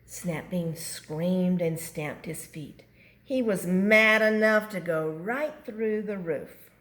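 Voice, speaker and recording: Slow, lowish older woman reading a story. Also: fairly bright tone. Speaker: grandmother reading storybooks aloud. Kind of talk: expressive children's story reading.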